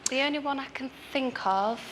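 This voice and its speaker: A woman's voice: sits high, moderate pace, a bit bright, slight background noise. A woman taking part in a TV quiz, talking casually and tentatively. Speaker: quiz show contestant.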